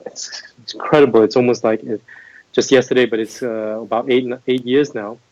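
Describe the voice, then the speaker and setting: A man's voice: medium pitch, fairly even intonation, fairly quick, darkish tone, dry recording, muffled recording. A middle-aged man from Singapore, a guest talking thoughtfully about his career, with frequent restarts and fillers. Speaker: MBA admissions head, guest. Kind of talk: interview conversation.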